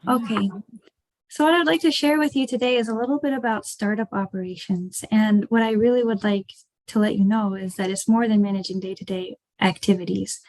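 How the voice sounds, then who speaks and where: A woman's voice: mid-range voice, fairly even intonation, fairly quick, darkish tone, dry recording, rough recording. An American woman giving an informal, conversational webinar talk as a startup co-founder over a video call. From rural Ohio, United States. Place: indoors, online video call.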